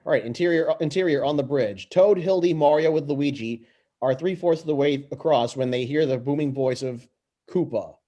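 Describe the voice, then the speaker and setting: A man reading stage directions aloud in an amateur script read-through, halting and tripping over words. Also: a little high, dark tone, highs cut off.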